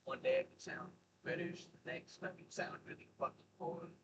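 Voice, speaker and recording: A man's voice: extremely high pitch, wildly swooping pitch, a little fast, dull audio, noisy background. A man riding along in a car, bantering loosely and laughing.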